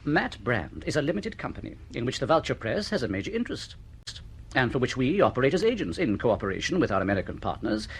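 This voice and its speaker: A man's voice: medium pitch, big pitch swings, fast talker. An actor playing a suave, condescending male literary agent in a radio drama, wordy, formal and coolly unruffled. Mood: unruffled, patronising. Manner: smooth-talking, patronising, wordy and formal.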